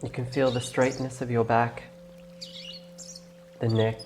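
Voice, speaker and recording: A man leading a guided meditation in a gentle, instructing way, leaving long pauses between phrases. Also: mid-range voice, flat delivery, background noise. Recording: clear birdsong and nature ambience behind. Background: birdsong, nature ambience.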